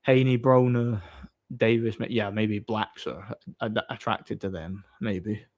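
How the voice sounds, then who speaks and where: A man's voice: on the low side, steady tone, takes it slowly. Boastful man on a livestream. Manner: boastful, mocking, reads viewer messages aloud. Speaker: live streamer reading chat. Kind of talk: casual livestream chat.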